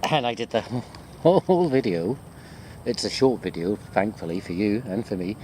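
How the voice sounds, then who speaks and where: Low-pitched man, chatty rambling lecturer. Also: expressive, moderate pace, dark tone, a little hiss or hum. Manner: self-deprecating, rambling and conversational. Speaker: university lecturer. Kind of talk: informal walking video chat to students.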